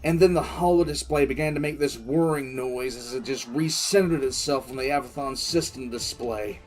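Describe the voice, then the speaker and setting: A man playing a grim, shaken military commissar who recounts events in a halting, reflective way for an audio drama. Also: mid-range voice, a little mellow, soft background music, natural voice. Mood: tense, haunted. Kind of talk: dramatic first-person audio drama narration.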